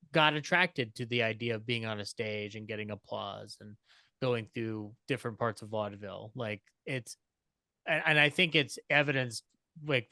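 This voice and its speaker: A man with a smooth, bright voice speaks as a panelist in a casual discussion. His voice is very clear and sits in a dry recording, and he works through his points in a thoughtful, wandering way. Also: medium pitch, dry recording. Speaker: podcast panelist.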